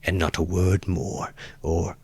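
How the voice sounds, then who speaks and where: A man's voice: low voice, flat delivery, slow pace, a little mellow, husky, no echo, exaggerated voice. A man voicing a tense young man in an audiobook, terse and distinct, coldly commanding with anger held back. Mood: quiet menace.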